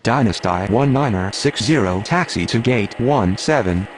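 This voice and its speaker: Lowish man acting a male aviation radio voice. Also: lively intonation, moderate pace, slight background noise, lightly acted. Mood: procedural. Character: male airline pilot or controller on radio. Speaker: air traffic radio voice in a game. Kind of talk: scripted air traffic control phraseology.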